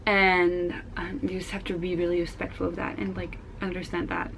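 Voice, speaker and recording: A woman's voice: lowish voice, completely flat delivery, normal speed, vocal fry, a bit bright, slight background noise, poor audio. A Dutch teenage girl with a Dutch accent, answering viewer questions in a casual vlog, frank and blunt, swearing casually. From Netherlands. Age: teens. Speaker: vlogger.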